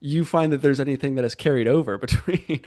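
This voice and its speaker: A man hosting a marketing podcast, reading a scripted intro with upbeat, clear delivery and then asking interview questions. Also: medium pitch, fairly quick, a little mellow, dry recording, studio quality. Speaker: podcast host and reporter.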